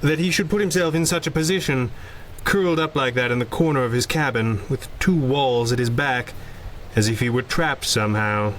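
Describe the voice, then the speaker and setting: Genteel, shrewd gentleman sleuth played by a man. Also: medium pitch, normal speed, slight background noise, natural voice, low-quality recording. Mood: probing. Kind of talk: 1930s radio drama acting.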